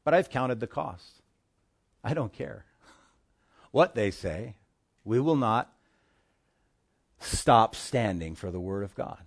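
A man's voice: medium pitch, lively intonation, slow, gravelly, long pauses. Impassioned male pastor preaching. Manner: impassioned preaching, swings between hushed and forceful. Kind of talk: sermon. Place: church in Hawaii.

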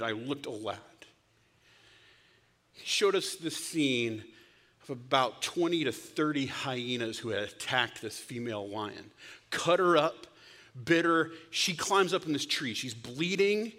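Bright-voiced preacher in a reverberant hall. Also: male voice, fairly high pitch. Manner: storytelling, swings from loud bursts to hushed whispers. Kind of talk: sermon.

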